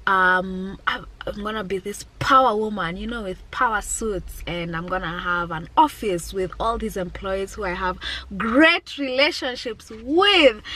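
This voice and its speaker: A woman's voice: mid-range voice, sharp tone, low-quality recording. A woman in her twenties talking candidly and reflectively to viewers, often repeating key phrases for emphasis. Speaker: vlogger, business owner. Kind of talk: personal reflective talk to viewers.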